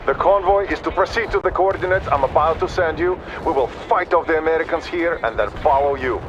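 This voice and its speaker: An actor playing a grave, dutiful Soviet major in a video game, speaking in sober, clipped military phrases. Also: male voice, a little high, fairly quick, dull audio, background noise, close to an everyday voice. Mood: commanding.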